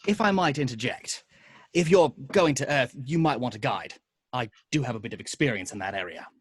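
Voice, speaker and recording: A man acting a glib, wry talker in an amateur table read, with a husky voice. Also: mid-range voice, brisk, natural voice. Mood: sly, smug. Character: glib, talkative men: sly trickster, wisecracking hero.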